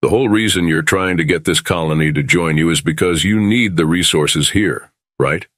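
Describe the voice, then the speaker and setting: Deep-voiced starship captain, measured man acting. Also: fairly bright tone, dry recording, studio quality. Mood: earnest, persuasive. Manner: measured, reasonable, conciliatory. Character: calm diplomatic male starship captain. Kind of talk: audio drama acting.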